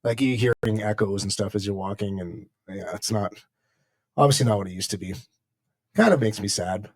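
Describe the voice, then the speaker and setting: A man's voice: fairly low pitch, normal speed. A man in a casual chat among friends, chatty and hedging his words with "like" and "I don't know".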